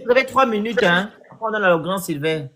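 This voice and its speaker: A man hosting a live online call-in panel, brisk and interrupting as he hands the floor to speakers. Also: high-pitched, lively intonation, bright, forceful tone, a little hiss or hum.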